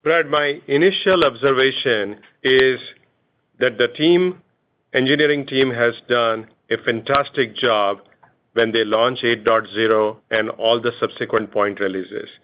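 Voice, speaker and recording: Man, company executive, earnings call answers, measured with hesitations, dull lo-fi line. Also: mid-range voice.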